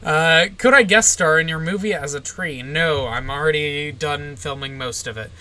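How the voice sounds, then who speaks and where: A young woman with a general American accent, streaming live and reading viewer questions aloud. She gives short, deadpan answers with plenty of "uh" and "like". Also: low voice, normal speed, slight background noise. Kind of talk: casual livestream Q&A, answering chat.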